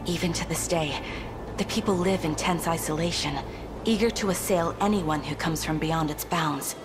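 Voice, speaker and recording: A woman's voice: low voice, moderate pace, music in the background, close to an everyday voice. A woman playing a composed, loyal companion to a prince in a fantasy video game, speaking formally. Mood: composed, explaining. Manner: formal, poised.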